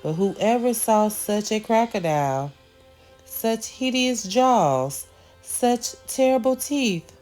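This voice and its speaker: Woman doing old male professor, storybook, shouting, alarmed and awed, raised pitch, slow and emphatic. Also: animated, soft background music.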